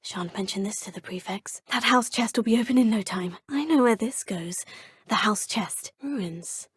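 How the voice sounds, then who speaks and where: An actress voicing a young, curious, polite witch student in a game, speaking in a husky, often half-whispered voice in formal phrases. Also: medium pitch, normal speed, no room sound, studio quality. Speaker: player character, a student. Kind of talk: game voice lines.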